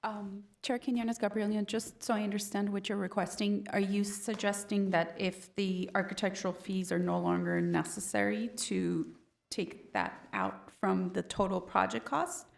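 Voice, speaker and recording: City staff woman, careful, clarifying, gravelly mid voice. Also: medium pitch, moderate pace. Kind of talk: clarifying at a public meeting. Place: city council chamber.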